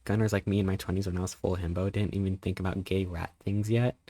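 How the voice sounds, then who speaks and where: A man in his thirties, a live streamer chatting casually and greeting viewers, rambling playfully with sudden squeaky jumps in pitch. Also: flat delivery, quick pace, mellow, no room sound. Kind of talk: casual live-stream chat reading chat messages.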